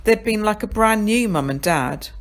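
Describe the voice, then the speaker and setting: A woman's voice: medium pitch, lively intonation, normal speed. A woman reading a children's story aloud, dramatic and addressing the listener directly as narrator. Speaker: story reader.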